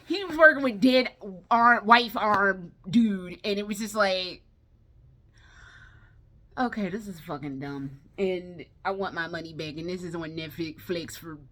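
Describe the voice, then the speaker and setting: A woman's voice: fairly low pitch, fairly bright tone. A woman giving casual, chatty commentary in a blunt, exasperated way, with plenty of slang and swearing. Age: adult, no longer young. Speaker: vlogger reviewing films.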